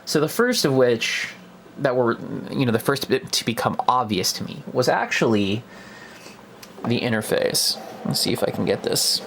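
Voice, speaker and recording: A man's voice: mid-range voice, sing-song, normal speed, fairly bright tone, slight background noise. A young man giving a casual, unscripted product review in a hesitant, conversational way, as if thinking aloud.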